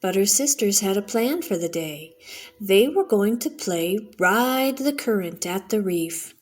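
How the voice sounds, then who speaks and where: A middle-aged woman reading a children's story aloud as a storyteller, in a deliberate, expressive way. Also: mid-range voice. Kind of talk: bedtime story narration, read aloud.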